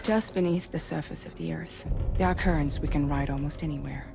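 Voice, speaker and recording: A woman's voice: low-pitched, fairly even intonation, fairly quick, creaky, music in the background. A woman acting a composed crew commander in a video game, explaining things in a matter-of-fact way. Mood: assured, earnest. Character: composed female commander of a civilian expedition crew.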